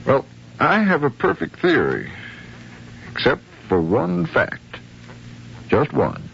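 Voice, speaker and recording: An actor playing a shrewd, insistent sheriff who questions deliberately and politely, in a 1950s radio drama. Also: male voice, on the high side, huge pitch swings, gravelly, a bit bright, full character voice. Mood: probing. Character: probing, shrewd male sheriff hunting runaway slaves. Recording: muffled, noisy 1950s radio recording.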